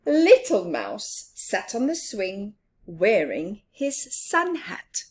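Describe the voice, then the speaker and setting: A woman's voice: medium pitch, sing-song, slow pace, a little mellow, breathy edge. A woman hosting storytime, reading aloud expressively to young children and adding chatty asides. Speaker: storytime host.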